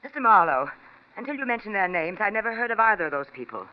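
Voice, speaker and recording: A woman's voice: medium pitch, big pitch swings, quick pace, natural voice. Woman acting imperious, indignant wealthy wife, dull recording. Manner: formal, precise, demanding. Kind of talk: 1940s-50s radio drama acting. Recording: dull vintage radio recording, faint music.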